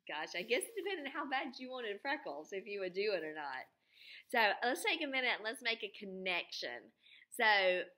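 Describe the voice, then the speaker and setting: Bright-voiced woman teacher reading aloud. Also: mid-range voice. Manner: animated, emphatic, drawing out key words. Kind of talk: expressive children's read-aloud with questions to pupils.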